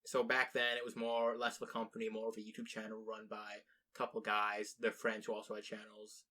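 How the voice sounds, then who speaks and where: A man's voice: on the low side, completely flat delivery, a little fast, bright, forceful tone. A young man from the United States, co-hosting a casual podcast chat, rambling with restarts and plenty of swearing. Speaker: podcast co-host.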